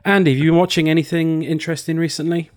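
A man's voice: fairly high pitch, little pitch movement, racing. Brit guy hosting a podcast, upbeat, chatty, steers the talk. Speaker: podcast host. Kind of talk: casual chat.

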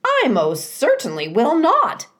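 A high, squawking storybook goose, acted out by a woman reader, bossy and blunt. She fusses in motherly fashion, swooping emphatically through her opinions. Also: wildly swooping pitch, takes it slowly, a bit bright, heavily acted. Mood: indignant. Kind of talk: children's storybook character reading.

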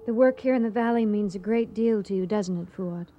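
An actress playing an eager, curious young daughter of an archaeologist, full of polite wonder, in a radio drama. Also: female voice, mid-range voice, brisk, dark tone, muffled recording, close to an everyday voice. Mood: gentle, friendly.

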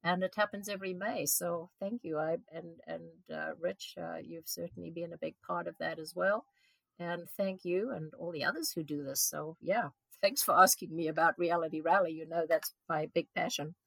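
A woman's voice: lowish voice, moderate pace, vocal fry, no room sound, poor audio. A woman in her seventies from South Africa, a guest answering interview questions earnestly, with frequent ums and restarts. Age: 70s. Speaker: guest, event organizer.

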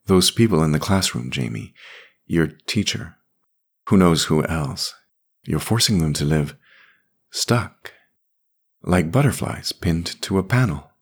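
A calm, firm grown woman speaks in a patient, gently insistent way, read in a man's own voice for an audiobook. The voice is very deep and husky. It moves slowly and evenly, with a lively rise and fall. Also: heavily acted. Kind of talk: audiobook character voice.

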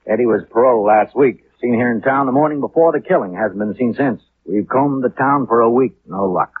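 An actor playing a slick, cynical American city attorney in a 1950s radio drama, speaking in a wry, glib, knowing way. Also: male voice, mid-range voice, a little fast, husky, no echo, lightly acted. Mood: smooth, matter-of-fact. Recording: tinny, noisy 1950s radio recording.

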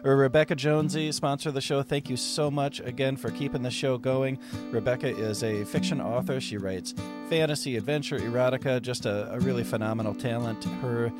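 A man's voice: medium pitch, steady tone, fairly quick. Man, podcast host, jokey casual chat, guitar music under the voice. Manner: jokey, laughs often. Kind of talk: casual interview hosting. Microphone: Skype call.

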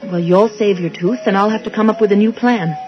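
A woman plays an earnest young wife turned amateur sleuth in a radio drama. She is insistent and suspicious, with a medium-pitched voice, in a dull lo-fi recording with music under it. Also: brisk, lightly acted. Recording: dull lo-fi old radio broadcast.

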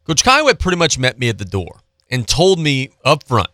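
A man hosting sports talk radio in a casual, chatty, slangy style, telling stories in an animated, repetitive way on a close studio microphone. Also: mid-range voice, moderate pace, dry recording.

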